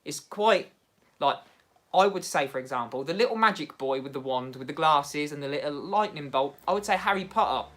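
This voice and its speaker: A man with an English Midlands accent hosting a casual livestream from home, chatting excitably and laughing often as he answers viewers. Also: on the high side, fairly quick. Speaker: livestream host. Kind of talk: casual livestream chat reading viewer comments.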